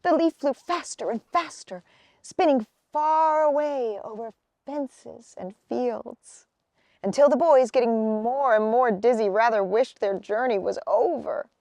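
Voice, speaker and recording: A woman reading a children's picture book aloud as an expressive storyteller. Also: a little high, unhurried, no room sound.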